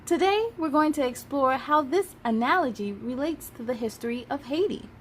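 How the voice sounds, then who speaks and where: An upbeat, patient schoolteacher from a children's storybook, acted by a woman, with a high voice that swings widely. She instructs with encouraging emphasis, over some background noise. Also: animated, moderate pace. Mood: explaining. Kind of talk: children's storybook read-aloud.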